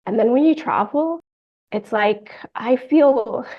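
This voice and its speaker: A woman's voice: on the high side, slow pace, highs cut off. A woman guest on a podcast, speaking earnestly and searching for words with frequent fillers. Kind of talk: conversational interview chat.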